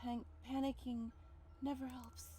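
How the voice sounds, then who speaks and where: High-voiced, anxious, frightened young woman. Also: level tone, dark tone, a little hiss or hum. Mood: anxious, hushed. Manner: anxious, halting, self-soothing. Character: frightened young woman calming herself at night. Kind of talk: video game voice acting.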